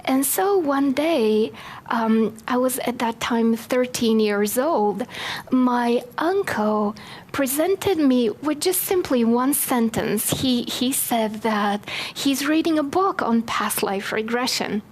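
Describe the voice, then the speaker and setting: Chatty woman, slightly high voice. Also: animated, takes it slowly. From Bulgaria. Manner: chatty, self-deprecating, many fillers. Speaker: speaker. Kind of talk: talk to a live audience.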